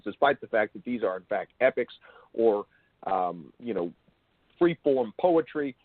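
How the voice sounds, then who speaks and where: A man hosting an interview, asking long, wordy, bookish questions in a halting way. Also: lowish voice, husky, dry recording. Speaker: interviewer and show host. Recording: thin phone-like call audio.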